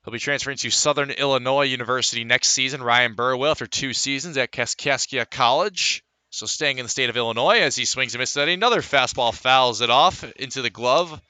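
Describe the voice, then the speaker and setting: A man's voice: medium pitch, brisk, hardly any pauses, sharp tone, clear, resonant tone, no echo. An American man calling a baseball game as a live radio play-by-play broadcaster. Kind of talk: live radio sports commentary.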